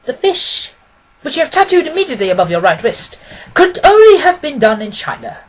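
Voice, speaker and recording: A woman voicing a confident, quick-witted gentleman detective from a storybook, precise and amused. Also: high-pitched, big pitch swings, voice pushed up, poor audio. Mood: assured. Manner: precise, expository, assured.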